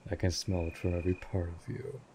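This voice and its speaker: A man's voice: low voice, level tone, brisk, warm tone, slight background noise. A man voicing a cold, imperious centuries-old vampire lord in an audio roleplay drama, speaking formally and with disdain. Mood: stern, disgusted.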